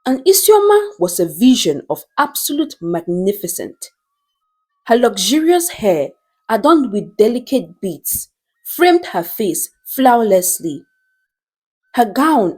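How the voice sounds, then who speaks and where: A woman narrating a scripted story in a deliberate, dramatic storytelling style. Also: a little high, darkish tone.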